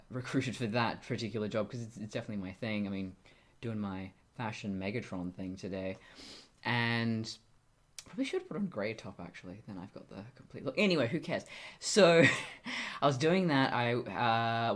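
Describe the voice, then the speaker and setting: A young woman with a deep voice for a woman, talking casually and candidly to camera in a wry, self-interrupting way. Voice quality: deep voice for a woman, deepened by testosterone. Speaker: vlogger. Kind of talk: casual personal monologue to camera.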